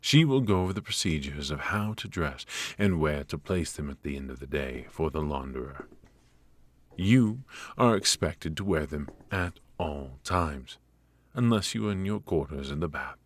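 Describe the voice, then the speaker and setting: A man playing a stern, aloof royal mage and mentor, speaking formally and imperiously with a husky voice in a scripted ASMR fantasy roleplay. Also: rumbling low, lively intonation, normal speed. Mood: formal, instructive.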